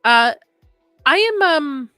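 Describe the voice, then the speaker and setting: A woman's voice: high-pitched, animated, slow pace, sharp tone, no room sound, studio quality, soft background music. A young adult woman hosting a horror livestream, chatting casually and reading comments, rambling and laughing often; her first language was Arabic.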